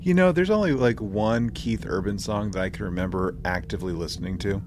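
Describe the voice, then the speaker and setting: A man's voice: on the low side, brisk, a little mellow. A man co-hosting a podcast in a casual, laid-back chat. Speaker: podcast co-host. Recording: clear background noise throughout.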